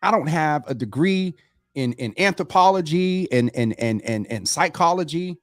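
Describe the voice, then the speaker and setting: Host with a dry close sound. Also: male voice, fairly high pitch, expressive, normal speed, dry recording. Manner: warm, familiar, many fillers. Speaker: talk show host. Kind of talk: casual live talk show.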